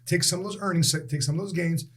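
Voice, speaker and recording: A man in his late forties, a guest in a casual interview, telling stories with animated emphasis and repeated phrases. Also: on the high side, fast, slight background noise. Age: 40s.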